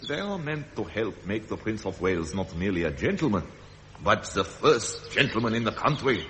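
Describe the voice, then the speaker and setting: A man's voice: fairly low pitch, sing-song, normal speed, a bit bright, a little hiss or hum. An actor playing a stern, middle-aged royal father in a 1970s radio drama, pedantic and disapproving, lecturing formally. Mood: pompous lecturing.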